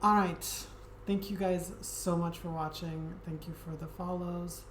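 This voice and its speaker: Low-voiced woman streamer in an echoey room. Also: a little slow. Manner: soft, friendly, hesitant with many ums. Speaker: Twitch streamer. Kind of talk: casual chat with viewers. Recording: echoey room with steady background noise.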